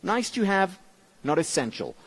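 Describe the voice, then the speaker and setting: A man whose mother tongue is Hebrew gives a motivational conference talk in English, with measured, emphatic phrasing and deliberate pauses. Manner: lively, expressive pitch, dropping low on key words. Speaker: keynote speaker.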